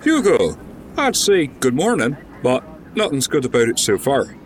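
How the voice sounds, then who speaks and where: A man's voice: mid-range voice, wildly swooping pitch, normal speed, a little hiss or hum. An actor playing a weary, glum male bar owner in a naturalistic audio drama.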